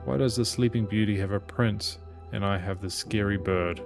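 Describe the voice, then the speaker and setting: Low-pitched man reading a story aloud. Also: moderate pace, vocal fry, over music. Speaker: gaming channel host reading game aloud. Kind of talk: narrated read-aloud of visual novel text.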